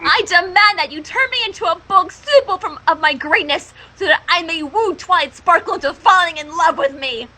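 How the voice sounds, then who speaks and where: Casting: narrator acting grandiose cartoon mare magician, high and piercingly bright, haughty, demanding, self-important. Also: brisk, few pauses. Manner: grandiose, speaks of herself in third person. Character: boastful, demanding female show-pony magician.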